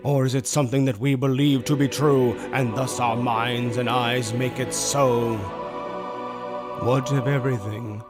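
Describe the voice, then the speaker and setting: A man voicing a calm, seductive demon tempter, a philosophical villain who speaks in deliberate, persuasive, theatrical phrases. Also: mid-range voice, close to an everyday voice. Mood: forceful, challenging, then musing, hushed. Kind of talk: dark fantasy audio roleplay monologue, ASMR. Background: music.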